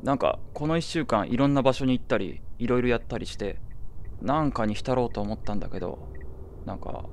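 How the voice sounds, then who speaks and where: A man acts out a disillusioned young man in a naturalistic radio drama. He talks hesitantly and self-consciously at an ordinary male pitch, with faint chirping of night insects behind him. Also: fairly quick, slight background noise, close to an everyday voice. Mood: wistful, flat. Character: disillusioned young man back in his hometown, nostalgia-seeking, self-conscious.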